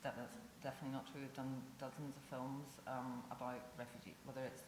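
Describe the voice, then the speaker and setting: An insistent speaker replies off the cuff on a panel with a very even intonation. The room is echoey, with faint background noise. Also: medium pitch, fast talker. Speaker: panel member, broadcast commissioner. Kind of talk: unscripted panel reply. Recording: echoey noisy hall ambience.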